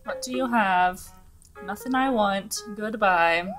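A young woman hosting a relaxed gaming video, talking through her play in a chatty, giggly way with lots of fillers, her voice a little scratchy from the early morning. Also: medium pitch, takes it slowly. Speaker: gaming channel host. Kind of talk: casual let's-play commentary. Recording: game music underneath the voice.

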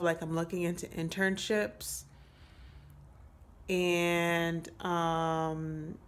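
A woman in her late twenties talking to her phone camera in a small room, giving a casual, rambling update with many pauses and fillers. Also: lowish voice. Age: 20s. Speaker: vlogger. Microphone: phone held close at arm's length.

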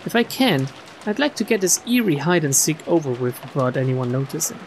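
A man's voice: fairly high pitch, fairly quick, a little hiss or hum, natural voice. A man voicing a proud, anxious, dutiful noblewoman in formal, dramatic inner monologue for a mystery visual novel.

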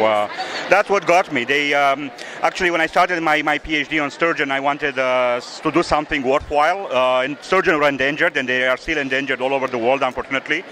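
A man in his forties from Romania with a Romanian accent, talking in a casual interview at a festival booth, halting with many fillers and repeated words. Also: mid-range voice, sharp tone. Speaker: sturgeon farm owner. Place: indoor festival hall. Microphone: handheld mic held by interviewer. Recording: noisy festival hall.

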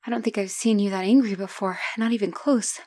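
A woman playing a battle-hardened but tender, devoted female knight in a romantic ASMR roleplay, speaking in a breathy, hushed, emotional way. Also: medium pitch, steady tone, fairly quick, close to an everyday voice. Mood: shaken, amazed.

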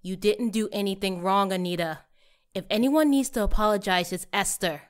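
An actress plays a tough-talking but caring young woman in an audio drama. Her pitch is average for a woman, and her delivery is casual and blunt, with a protective, reassuring manner. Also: moderate pace, smooth, lightly acted. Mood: tender, concerned.

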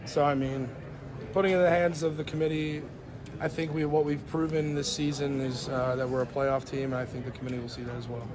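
A young college football player answering reporters after a game in a crowded weight room, earnest and grateful, with many fillers. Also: male voice, mid-range voice, flat delivery, fairly quick, background noise. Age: young adult. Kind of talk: postgame media scrum. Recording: crowd chatter behind.

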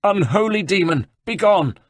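Panicky storybook abbot, wailing and pleading way up high, rough edged, super dry sound. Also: male voice, animated, moderate pace, no echo. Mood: horrified, thunderous. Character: terrified, ranting old abbot crying out against demons.

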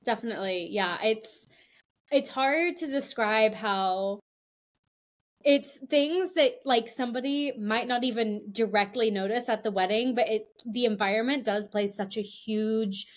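A young woman with a slightly high voice. She speaks conversationally as the host of a casual podcast interview. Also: measured pace. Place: indoor room on video call.

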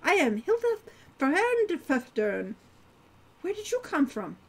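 A woman's voice: sits high, sing-song, a little slow, voice pushed up, heavily acted. A woman voicing a cheerful young centaur girl who speaks in stiff, formal phrasing in a storybook reading.

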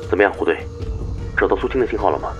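Man as a rapid-fire tactical subordinate. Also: deep voice, expressive, fairly bright tone, lo-fi sound, soft background music. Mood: urgent. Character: alert male tactical subordinate giving reports. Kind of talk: radio drama acting.

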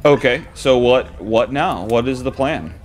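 Brief: male game master, mid pitch, slow and easygoing delivery. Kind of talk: narrating a tabletop game.

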